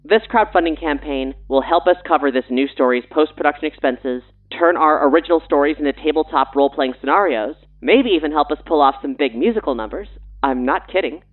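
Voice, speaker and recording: A man's voice: on the high side, fairly quick. A man hosting a podcast, reading a promotional script with playful banter. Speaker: podcast host. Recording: thin phone-like sound, music behind.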